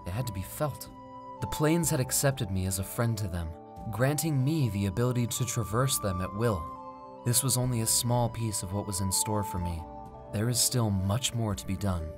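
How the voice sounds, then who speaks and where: A man's voice: lowish voice, natural voice. Man acting an earnest fantasy mage over music. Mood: calm, resolved. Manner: measured, theatrical, formal storybook phrasing. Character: wandering plane-traveling mage, earnest, driven, recounting his life. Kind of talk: dramatic first-person fantasy story narration. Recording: music under the voice. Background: background music.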